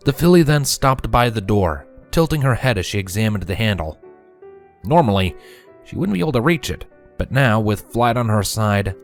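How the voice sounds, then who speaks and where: A man giving an expressive scripted narration of a fan fiction story. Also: fairly low pitch.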